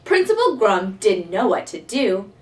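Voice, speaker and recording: A woman reading a rhyming children's story aloud in an expressive, theatrical style. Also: mid-range voice, a little slow. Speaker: storybook reader. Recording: voice somewhat distant from mic.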